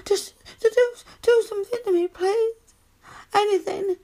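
Woman acting a very high-voiced submissive, pleading lover. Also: fairly even intonation, a little slow, mellow. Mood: ecstatic pleading. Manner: breathy moaning and begging. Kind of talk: erotic audio roleplay.

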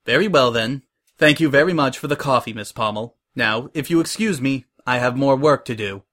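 A man's voice: mid-range voice, fairly bright tone, natural voice. Man as a composed, no-nonsense pony businesswoman. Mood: cool, neutral. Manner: formal, measured, polite. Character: composed, no-nonsense female pony boss, stern but kind.

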